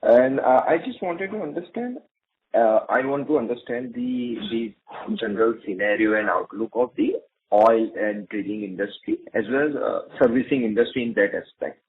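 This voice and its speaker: A man with an Indian accent, an analyst putting questions in a halting way on an earnings call. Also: medium pitch, lively intonation, slight background noise. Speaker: analyst caller. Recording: muffled, dull conference phone line.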